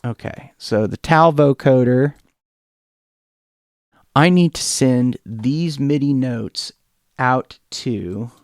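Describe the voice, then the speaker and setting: A man giving an informal, enthusiastic software tutorial, talking off the cuff and thinking aloud as he demonstrates. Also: mid-range voice, takes it slowly, long pauses. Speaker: music production tutorial presenter.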